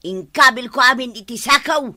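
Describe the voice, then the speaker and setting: A sharp-tongued village wife in an Ilocano radio comedy. Her voice is slightly high and brightly forceful. She nags with long-suffering sarcasm in an Ilocano accent. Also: normal speed. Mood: sarcastic, nagging. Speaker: actress in radio comedy drama.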